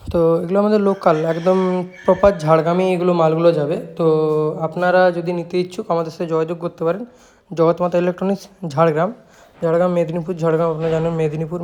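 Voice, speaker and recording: A man's voice: fairly high pitch, a little mellow. A man with a West Bengali accent giving an informal product showcase, repeating his sales points and often adding little check-in tags. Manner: repetitive sales talk with frequent check-in tags. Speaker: shop owner presenting own speakers.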